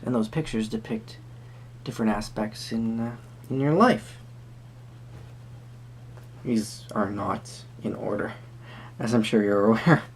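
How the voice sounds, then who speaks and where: A performer playing a quirky, friendly tarot reader at a Renaissance fair, speaking to the viewer in halting, theatrical phrases. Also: mid-range voice, darkish tone, a little hiss or hum. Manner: hesitant, theatrical, halting phrasing with sudden emphasis. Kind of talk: improvised first-person roleplay addressed to the viewer.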